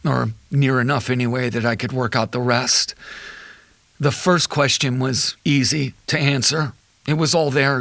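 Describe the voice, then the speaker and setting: Betrayed husband played by a man, mid-range voice, bitter and measured, audio drama monologue, close dry sound. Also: medium pitch, fairly bright tone, no room sound, natural voice. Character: betrayed husband, an accountant recounting his wife's affair.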